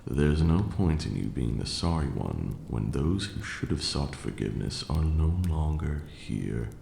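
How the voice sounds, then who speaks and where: A man's voice: expressive, a little slow, warm tone, roomy sound, a little hiss or hum, exaggerated voice. A man playing an ancient, proud and protective vampire lord in an ASMR roleplay, speaking formally and dramatically. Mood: stern, softening. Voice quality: very deep, gravelly, breathy at times.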